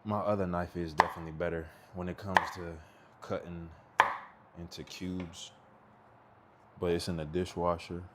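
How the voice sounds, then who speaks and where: A man's voice: sits low, level tone, moderate pace, pauses often, heavy background noise. A man in his thirties with a Texan accent, chatting casually and rambling in slang while he cooks at home. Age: 30s. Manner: laid-back, rambling, slangy. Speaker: cooking vlogger. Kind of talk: casual chat while cooking. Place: home kitchen.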